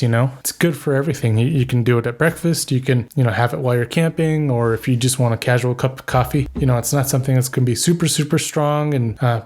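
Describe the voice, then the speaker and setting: Guy doing a solo podcast, medium pitch, just chatting casually and kinda rambling. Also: darkish tone. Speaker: podcast host.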